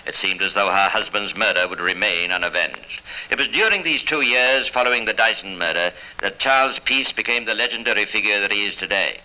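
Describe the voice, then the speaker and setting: A man narrating a radio crime drama in a formal, dramatic, measured 1950s style. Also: lowish voice, bright, forceful tone. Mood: grave, dramatic. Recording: faintly noisy old radio recording.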